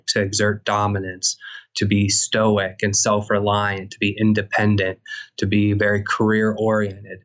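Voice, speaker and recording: A man, a psychology professor, explaining research findings in a measured podcast interview with frequent pauses. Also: lowish voice, a bit bright, muffled recording.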